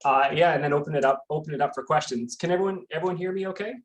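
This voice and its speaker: A man's voice: on the high side, rapid-fire. A Canadian man giving a casual online talk as a guest scientist, often restarting and repeating words. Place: home near a busy street. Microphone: computer mic on a video call.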